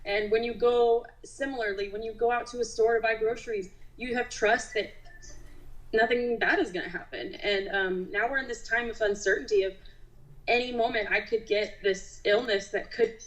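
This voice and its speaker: A woman's voice: fairly high pitch, fairly quick, fairly bright tone, poor audio. A young adult woman, a nurse being interviewed, talks in a casual, reflective and conversational way. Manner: conversational, frequent use of "like".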